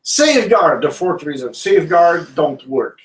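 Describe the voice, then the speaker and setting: Halting man, a bit off-mic. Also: on the high side, big pitch swings, recorded from a distance, rough recording. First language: French. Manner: halting, searches for words in his second language. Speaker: co-host. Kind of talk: casual panel discussion.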